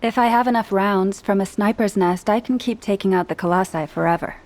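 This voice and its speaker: Game cutscene role: young woman sharpshooter played by an actress, medium pitch, brisk, confident, a bit cheeky. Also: darkish tone, lightly acted. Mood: confident, questioning. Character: confident young female sniper, eager and cheeky. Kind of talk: video game cutscene acting.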